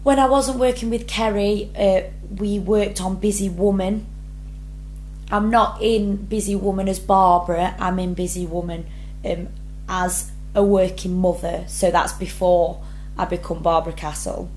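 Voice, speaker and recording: A woman's voice: mid-range voice, steady tone, measured pace, a little mellow, slight background noise, rough recording. A young woman drama student, talking earnestly through her rehearsal blog and reading each question aloud before answering. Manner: earnest, many ums, reads prompts then answers.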